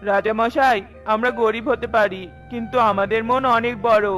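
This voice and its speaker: A woman's voice: a little high, steady tone, a little slow, a bit bright, soft background music. A woman voicing a humble, earnest peasant parent in a cartoon, speaking respectfully and entreatingly. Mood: earnest, pleading. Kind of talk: dramatic cartoon voice acting.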